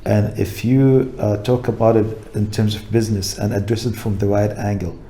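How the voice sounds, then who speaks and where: A man's voice: lowish voice, little pitch movement, normal speed, warm tone. A middle-aged man from Jordan, a guest talking casually in an interview, thoughtful and full of fillers. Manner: thoughtful, many fillers, laughs easily. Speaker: guest, coffee importer.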